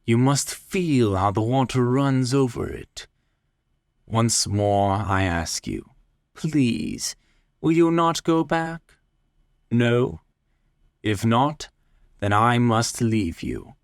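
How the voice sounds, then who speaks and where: A man plays a cold gentleman avenger in a gothic storybook. He speaks slowly and deliberately with a polished, false solicitude, in a dry, close sound. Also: medium pitch, expressive, no room sound. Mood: mock pleading. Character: cold, slyly polite avenger from an old family, feigning concern. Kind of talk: dramatic story reading.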